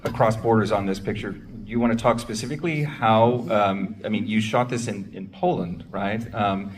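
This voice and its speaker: Man, moderator, low voice, hesitant questions, distant mic, faint room noise. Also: moderate pace. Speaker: panel moderator. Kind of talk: moderating a panel.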